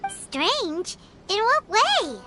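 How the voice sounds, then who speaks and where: An actress voicing a small, curious female fairy companion in a video game, with a high, childlike, bouncy voice who speaks of herself in the third person. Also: sing-song, very slow, full character voice. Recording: game audio with clear music.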